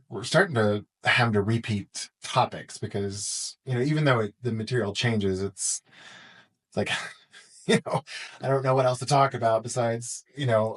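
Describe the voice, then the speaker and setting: Middle-aged American man in casual conversation. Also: medium pitch. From Texas, United States. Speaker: podcast guest, parenting podcaster.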